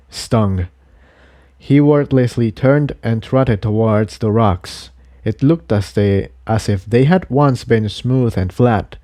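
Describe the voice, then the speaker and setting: A man reading a scripted adventure story as narrator in a measured way. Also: mid-range voice, dark tone, dry recording, clean studio sound. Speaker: audiobook reader of fan fiction.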